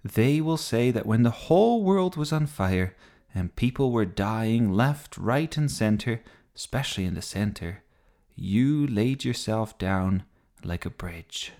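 A man's voice: fairly low pitch, lively intonation, unhurried, darkish tone. A man voicing an earnest, admiring little girl, an eager and wondering playmate in a children's storybook. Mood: earnest admiration.

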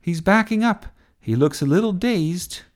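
An excitable young girl from a storybook played by a man, bossy and theatrical. The voice is pushed high and swings widely, with emphatic announcer flourishes. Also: unhurried. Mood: excited commentary. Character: bossy, excitable young girl giving breathless play-by-play commentary. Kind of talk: children's storybook character voice.

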